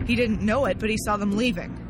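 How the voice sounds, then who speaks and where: An actress playing a brisk, commanding lead investigator in a science fiction audio drama. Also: female voice, mid-range voice, music in the background, natural voice, poor audio. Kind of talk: sci-fi audio drama acting.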